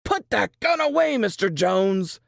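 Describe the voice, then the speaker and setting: A woman's voice: medium pitch, big pitch swings, unhurried, gravelly, no echo, studio quality, pitched above the performer's own voice, full character voice. A woman voicing a belligerent, slurring male tavern manager who has been drinking, in a story reading. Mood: angry.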